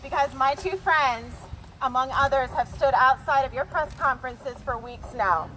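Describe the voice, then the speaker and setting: A woman's voice: high voice, moderate pace, bright, forceful tone, faint music behind. A woman from North Carolina giving an impassioned, accusatory protest speech through a bullhorn outdoors. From North Carolina, United States. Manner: insistent, accusatory. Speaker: protest speaker. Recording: noisy outdoor bullhorn recording.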